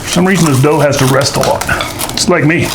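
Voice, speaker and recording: Folksy man, higher-pitched voice, noisy kitchen recording. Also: quick pace. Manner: folksy, joking asides. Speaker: show host. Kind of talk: casual cooking demonstration. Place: indoors at home kitchen.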